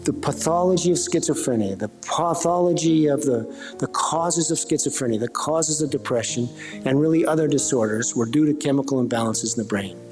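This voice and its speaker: A man's voice: a little high, fairly quick, darkish tone, faint music behind. A man giving explanatory interview commentary with emphatic repetition.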